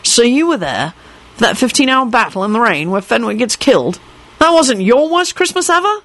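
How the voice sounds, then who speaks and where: A woman's voice: sits high, wildly swooping pitch, moderate pace, a bit bright, voice pushed up, heavily acted. A woman voicing a hot-tempered, suspicious young man who speaks in blunt demands, in storybook dialogue. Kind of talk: audiobook-style character dialogue.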